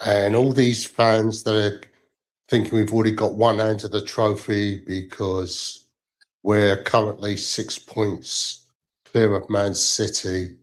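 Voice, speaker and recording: A male caller with a croaky voice from illness, talking through football in a measured, old-school way. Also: lowish voice, flat delivery. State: croaky from stomach flu. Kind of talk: call-in football chat.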